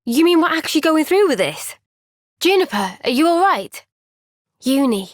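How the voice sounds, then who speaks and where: A woman playing an earnest, gentle young soldier heroine in a fantasy video game, soft-spoken, sincere and thoughtful. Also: high-pitched, very animated, moderate pace, no room sound, studio quality. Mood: concerned. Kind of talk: video game cutscene voice acting.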